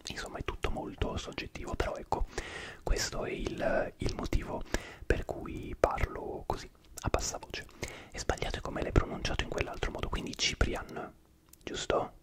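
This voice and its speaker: A man whispering throughout as he hosts an ASMR live stream, chatting and rambling to viewers by name.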